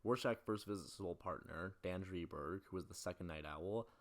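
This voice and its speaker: Video essayist: young male, deep-pitched, brisk scripted narration. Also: slight background noise. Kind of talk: scripted film-analysis narration.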